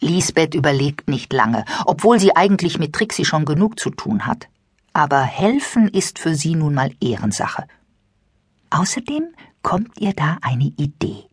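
A woman narrating a children's audiobook with expressive, scripted storytelling. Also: low-pitched, unhurried, breathy edge, no room sound, clean studio sound.

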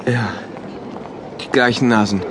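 A man's voice: mid-range voice, steady tone, unhurried, noisy background, close to an everyday voice. A man playing an earnest, dogmatic engineer loyal to a regimented state in a radio drama, speaking in a formal, didactic way. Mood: lecturing. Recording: loud urban outdoor ambience behind.

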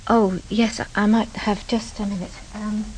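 A woman's voice: medium pitch, flat delivery, normal speed, a little mellow, a little hiss or hum, natural voice, rough recording. An actress playing an anxious, protective mother in a radio drama, distressed and hesitant. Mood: anxious, defensive. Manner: hesitant, emotional, breathless pleading. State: distressed, tearful. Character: anxious, tearful middle-class mother, fretful and protective. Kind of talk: radio crime drama acting.

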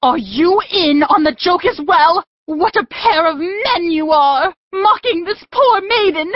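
An actress playing a wounded, fiery young maiden in love in a Shakespeare audiobook, with melodramatic, declamatory speech. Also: female voice, very high voice, animated, takes it slowly, dry recording, dull audio, heavily acted. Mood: indignant. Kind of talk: full-cast Shakespeare audiobook acting.

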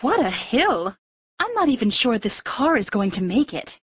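A worried but gently firm mother in a children's audiobook. Her voice is husky and fairly high, with earnest, expressive rises and falls. The sound is a little dull. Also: moderate pace, dry recording. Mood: uneasy. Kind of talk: full-cast audiobook acting.

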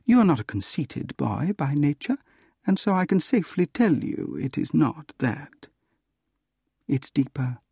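A man voicing a proud, composed young woman in a storybook, gently reproving as she turns down a suitor. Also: fairly high pitch, very animated, a little slow, creaky, breathy edge, no room sound, clean studio sound, pitched above the performer's own voice, heavily acted. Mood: gentle.

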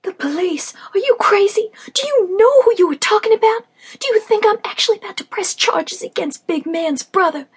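A woman's voice: sits high, expressive, brisk, few pauses, voice pushed up. A woman voicing a battered young woman who is crying and pleading frantically. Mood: frantic.

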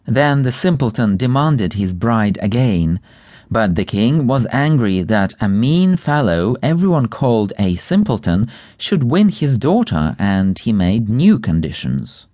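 Expressive male storyteller, dry close sound. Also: medium pitch, a little slow, no echo. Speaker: audiobook narrator. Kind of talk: scripted fairy tale narration.

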